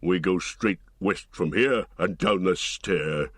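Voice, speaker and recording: A man voicing a huge, brutal orc captain with a deep, growling voice and slow, blunt commands in an audiobook. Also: slightly rough, no echo, studio quality, heavily acted. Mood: commanding. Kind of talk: audiobook character voice.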